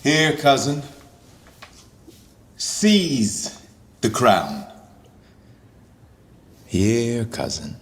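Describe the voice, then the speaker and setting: A man acting a deposed English king in Shakespearean verse, grieving and bitterly ironic, with a theatrical, deliberate delivery. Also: mid-range voice, very animated, a little hiss or hum, heavily acted. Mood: taunting grief. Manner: theatrical, deliberate, swinging from hushed to declaiming. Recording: long reverberant hall.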